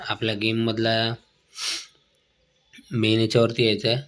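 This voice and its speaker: Man, lowish voice, monotone delivery, blunt slangy gaming commentary, clearly noisy recording. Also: measured pace. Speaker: gaming video host. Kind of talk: casual gameplay commentary with slang.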